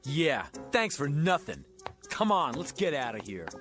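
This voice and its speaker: Brash, high-pitched hustler, animated. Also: male voice, unhurried, over music. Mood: sullen, irritable. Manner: slangy, combative, wisecracking. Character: brash, bitter working-class hustler, a sore-losing gambler. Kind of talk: radio drama acting.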